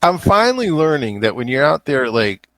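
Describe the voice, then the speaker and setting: A man's voice: on the high side, big pitch swings, normal speed, a bit bright, dry recording, rough recording. A man co-hosting a casual chat, speaking in a laid-back, slangy way with short interjections.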